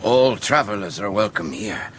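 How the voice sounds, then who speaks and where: Actor as low-voiced monk porter, noisy ambience. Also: male voice, expressive, normal speed, lightly acted. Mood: evasive, welcoming. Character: affable, evasive monastery porter, a monk. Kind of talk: radio drama acting. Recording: noisy outdoor ambience behind voice.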